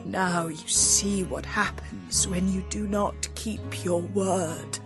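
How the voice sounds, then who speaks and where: A woman voicing a gentle, lovelorn male beast who speaks faintly, slowly and sorrowfully. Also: medium pitch, steady tone, over loud music. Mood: weak, reproachful.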